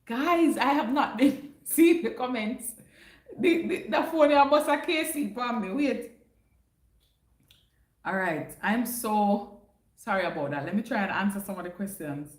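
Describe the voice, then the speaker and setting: A woman's voice: a little high, animated, unhurried. A woman with a Jamaican accent hosting a casual livestream, chatting through viewers' questions and slipping into patois phrasing. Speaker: livestream host answering chat. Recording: roomy echo.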